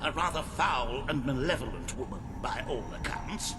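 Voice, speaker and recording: An actor playing a pompous, fussy official in a video game, flowery and hesitant in a theatrical way. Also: male voice, medium pitch, lively intonation, moderate pace, noisy background, close to an everyday voice. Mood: relishing, disdainful. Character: pompous, fussy, squeamish male official briefing his superior. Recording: long hall reverb, music behind. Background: game soundtrack music.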